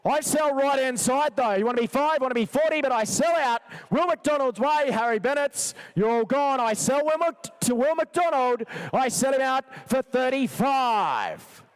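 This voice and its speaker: A man with a very high, ringing, clean voice works as an auctioneer. He rattles through bids in a rhythmic chant, repeating figures insistently to keep the sale moving. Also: lively intonation, moderate pace, smooth, rough recording. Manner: repetitive, insistent bid-calling. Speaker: livestock auctioneer. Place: sales arena.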